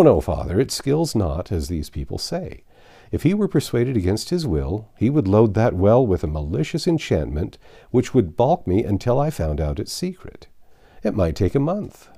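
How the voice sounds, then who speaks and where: A man's voice: lowish voice, warm tone. A man reading a storybook, playing a confident, wry magician who reasons with polite self-assurance.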